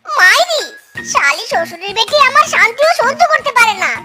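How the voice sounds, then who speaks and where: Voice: very high-pitched, big pitch swings, normal speed. A performer voicing a whining, put-upon fox thief husband in a comic cartoon, grumbling with exaggerated exclamations. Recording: children's cartoon music behind the voice.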